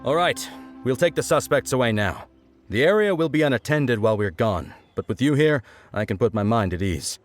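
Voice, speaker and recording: Man as a composed, mid-pitched lawman. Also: animated, fairly quick, faint music behind, lightly acted. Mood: calm, reassured. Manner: composed, formal. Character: calm, dutiful, courteous officer of the law. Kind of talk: video game character acting.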